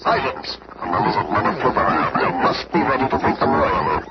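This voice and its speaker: Deep, imperious villain. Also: male voice, very animated, normal speed. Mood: commanding. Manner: imperious, commanding. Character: gloating tyrant villain plotting to sell captives. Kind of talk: old-time radio superhero acting. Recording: muffled, very noisy old radio recording.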